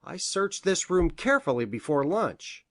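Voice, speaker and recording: A woman's voice: lowish voice, sing-song, moderate pace, no room sound, studio quality, voice pushed down. A woman voicing a sober, matter-of-fact male country doctor in an audiobook story.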